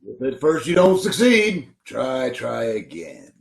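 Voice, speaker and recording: A pompous boss forever quoting proverbs, acted by a man in old-time radio comedy. His voice is gravelly and the room around him rings with echo on a video call. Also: mid-range voice, sing-song, takes it slowly, heavily acted. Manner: pompous, preachy, fond of sayings. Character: pompous middle-aged male boss, speaks in proverbs. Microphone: home mic on a video call. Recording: echoey home room over a video call.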